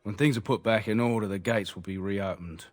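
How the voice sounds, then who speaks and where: A man's voice: lowish voice, brisk, lightly acted. An actor playing a matter-of-fact male city guard in a fantasy game, speaking in a blunt, official way. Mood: stern, matter-of-fact.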